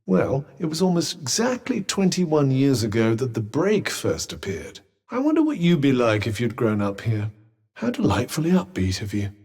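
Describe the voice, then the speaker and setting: Game companion, sentient magic cuff: British, pompous and articulate, dry sarcasm, highly animated pitch. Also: male voice, moderate pace, husky, full character voice. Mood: dry, sarcastic. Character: sardonic, haughty talking magical cuff, erudite male companion. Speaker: voice actor. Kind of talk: video game companion dialogue.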